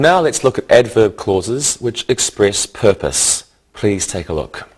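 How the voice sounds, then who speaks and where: Slow, deliberate male English teacher. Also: on the low side, husky. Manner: deliberate, didactic, spells out each point in short phrases. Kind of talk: slow, scripted grammar lesson.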